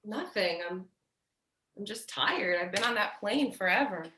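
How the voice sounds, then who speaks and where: An actress playing a tired, protective, foul-mouthed older sister in a naturalistic staged play reading, hesitant, guarded and blunt. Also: female voice, mid-range voice, expressive, measured pace, roomy sound, background noise, lightly acted. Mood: weary, reluctant.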